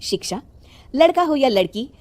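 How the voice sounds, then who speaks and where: A woman's voice: high voice, very animated, normal speed, darkish tone. A woman narrating a scripted moral story as a storyteller.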